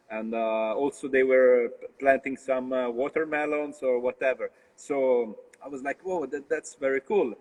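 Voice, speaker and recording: A young Italian man speaks in a hesitant, filler-heavy way, often restarting his words as he searches for the right ones. His voice sits at a middle pitch and carries a long reverb, as if from a bare room on a video call. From Italy. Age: young adult. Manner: halting, many fillers and restarts. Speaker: brewer, guest. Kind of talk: casual interview over a live stream.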